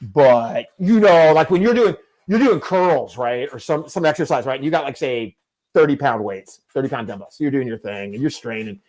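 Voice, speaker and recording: A man's voice: medium pitch, moderate pace, a little mellow, poor audio. Rambling self-mocking man in his fifties. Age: 50s. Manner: rambling, self-deprecating storyteller. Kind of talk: casual chat.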